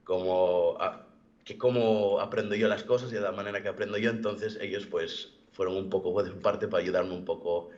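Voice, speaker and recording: A young man with a fairly low voice gives a personal account over an online call. He speaks haltingly, often repeating a word before rephrasing. The audio is somewhat dull and lo-fi. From born in the United States, living in Galicia, Spain. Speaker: event participant giving a personal testimony. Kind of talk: personal testimony in an online interview. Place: video call.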